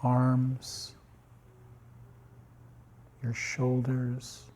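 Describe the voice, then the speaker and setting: A man guiding a meditation as a teacher, speaking in measured short phrases with long pauses. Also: medium pitch, monotone, darkish tone. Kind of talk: guided meditation.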